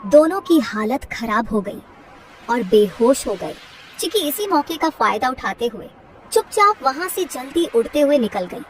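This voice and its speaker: A woman narrates a children's story in a steady, gently dramatic way. Her high voice sounds set back from the mic, with a faint hiss underneath. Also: a little slow, recorded from a distance.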